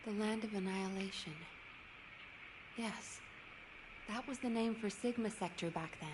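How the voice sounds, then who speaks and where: A woman playing a brisk, businesslike commander in a sci-fi video game, speaking in a direct, measured, formal way. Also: mid-range voice, noisy background, lightly acted. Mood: gentle, probing. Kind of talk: scripted video game dialogue.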